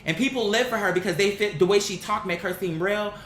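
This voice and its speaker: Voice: quick pace, a bit bright. A vlogger giving casual, opinionated commentary in a blunt, slangy and emphatic way. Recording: roomy echo, faint rumbling background noise.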